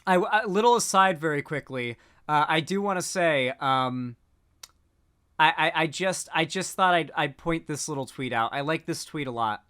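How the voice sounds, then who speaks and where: A man's voice: on the high side, animated, moderate pace, sharp tone, smooth. A man giving casual political commentary, rambling with frequent restarts.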